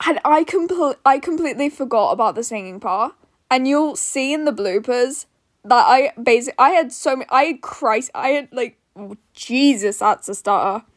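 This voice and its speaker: A woman's voice: high-pitched, lively intonation, moderate pace, dry recording, studio quality. A woman podfic narrator chatting casually to her listeners in an informal, giggly, self-deprecating way.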